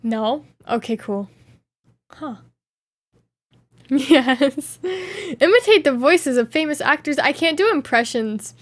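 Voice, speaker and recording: High-pitched woman, bouncy intonation, giggly streamer, reads questions aloud. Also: expressive, slow, pauses often, no room sound. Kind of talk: casual live Q&A chat.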